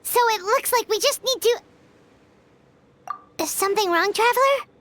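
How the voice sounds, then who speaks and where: A woman's voice: very high-pitched, moderate pace, fairly bright tone, a little hiss or hum. A woman voicing a tiny, blunt female companion creature who teases and hurries others along in a video game. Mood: impatient. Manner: blunt, teasing. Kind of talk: game voice acting.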